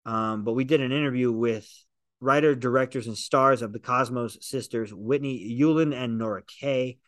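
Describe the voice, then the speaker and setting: A man's voice: medium pitch, moderate pace, a bit bright, dry recording. A man hosting a film podcast in casual chat, enthusiastic and profane, rambling with restarts. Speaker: podcast host, film reviewer.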